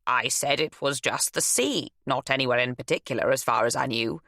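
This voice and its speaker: A woman voicing a nosy, chatty older woman who runs a shop, insistent and quick to huff. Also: a bass voice, fairly bright tone, no room sound, clean studio sound, full character voice.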